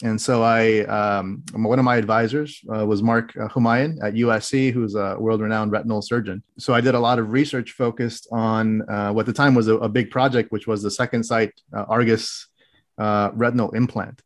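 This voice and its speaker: A man with a somewhat low voice, raised in the Middle East, speaks as a guest on a podcast. He explains technical work in careful detail and often restarts his sentences. His tone stays conversational. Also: steady tone, moderate pace. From grew up in the Middle East. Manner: explanatory, many restarts and fillers, precise technical wording. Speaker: guest, health tech director. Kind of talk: casual interview talk.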